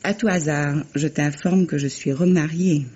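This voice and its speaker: A woman's voice: low voice, steady tone, a little slow, a little mellow, slightly rough. An actress playing a self-possessed, ironic woman novelist in a 1950s-style French radio drama. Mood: cool, pointed. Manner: ironic, teasing, composed. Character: self-possessed remarried woman novelist, wry toward her ex-husband. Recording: muffled, noisy vintage radio recording.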